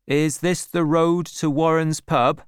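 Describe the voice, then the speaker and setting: A woman's voice: sits low, fairly even intonation, slow pace, clear, resonant tone, dry recording, studio quality, voice pushed up. A woman voicing a polite, earnest, kindly young male shepherd in a storybook reading.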